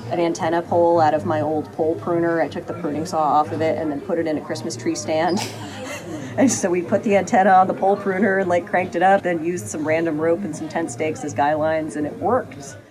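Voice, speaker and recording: A woman who teaches high school, talking casually and enthusiastically as she tells anecdotes in an interview. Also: fairly even intonation, a little fast, crowd noise.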